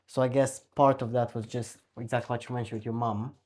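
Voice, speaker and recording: A man's voice: mid-range voice, flat delivery, a little fast, darkish tone. A man from Romania with a Romanian accent, hosting a podcast interview in a conversational style. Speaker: podcast host.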